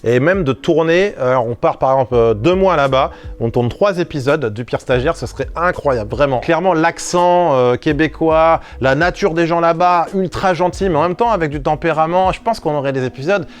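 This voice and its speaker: A man hosting a prank show, chatting casually and with animation about making the series. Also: a little high. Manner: animated, talks in quick bursts with restarts. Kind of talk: casual behind-the-scenes chat.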